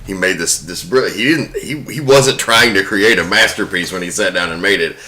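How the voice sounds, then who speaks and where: A man's voice: low voice, normal speed, sharp tone, slight background noise. A man hosting a podcast, presenting film trivia in an informal way. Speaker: podcast host.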